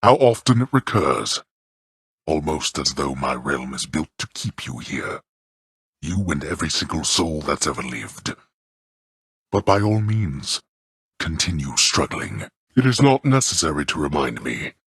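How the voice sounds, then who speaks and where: An actor playing a haughty male god of the underworld who scolds his son in a deep, clipped, contemptuous voice. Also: very animated, a little slow, fairly bright tone, breathy edge, dry recording, studio quality, exaggerated voice. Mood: cold, lecturing. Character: imperious male god of the underworld, cold and disdainful. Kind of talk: game character voice lines.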